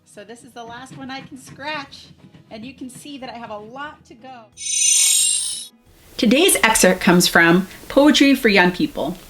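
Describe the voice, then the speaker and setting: A woman's voice: on the high side, a little slow, a bit bright. Woman presenting casually, music behind. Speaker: school librarian hosting a virtual library show. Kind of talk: scripted-casual presenting to camera for students.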